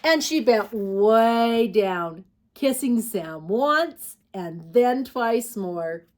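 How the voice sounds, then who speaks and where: A woman reading picture books aloud to preschoolers as a storytime host, expressive and putting questions to young listeners. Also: on the high side, very slow, a little hiss or hum. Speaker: children's storytime host and reader. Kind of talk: read-aloud picture book narration for preschoolers.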